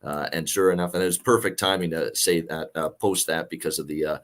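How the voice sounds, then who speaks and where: A man with a gravelly voice worn from a night of singing, hosting a sports betting show in casual, rambling talk. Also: low voice, fairly even intonation, brisk, a bit bright.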